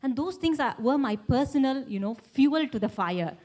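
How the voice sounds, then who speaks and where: A woman speaking as a panelist, loud and forthright with strong opinions. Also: high voice, expressive, normal speed. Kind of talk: panel discussion.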